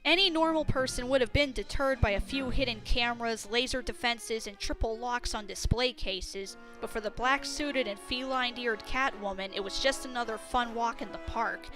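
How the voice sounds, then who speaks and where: A woman reading a scripted story as narrator, with an expressive, dramatic storytelling delivery. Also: fairly high pitch, moderate pace, few pauses, music in the background.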